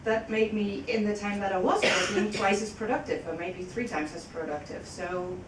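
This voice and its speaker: A woman making an informal comment from the audience, tentatively, pausing mid-sentence. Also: steady tone. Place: echoey indoor conference room. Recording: echoey room, distant mic, faint rumbling noise.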